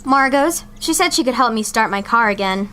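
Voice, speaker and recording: A woman's voice: on the high side, animated, brisk, pushed and bright. A young woman playing an exasperated, sensible teenage girl in an amateur audio drama. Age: young adult. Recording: music behind the dialogue.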